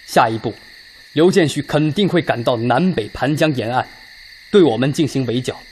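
A man's voice: medium pitch, lively intonation, moderate pace, lightly acted. Earnest army commander over night-time noise, acted by a man. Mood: grave, analytical. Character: earnest Red Army commander, analytical and resolute. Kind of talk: Chinese radio drama acting.

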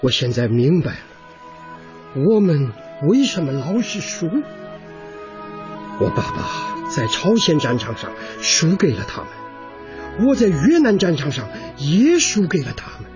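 A man playing a genial American war veteran, with a drawn-out, theatrical radio drama delivery. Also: on the high side, big pitch swings. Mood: solemn, resigned. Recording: muffled radio drama recording, music underneath.

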